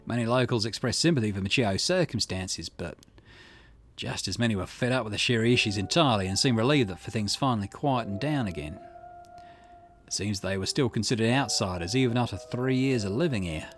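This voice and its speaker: A man's voice: lowish voice, fairly quick. Man reading game dialogue aloud over music. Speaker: gaming channel host reading a visual novel aloud.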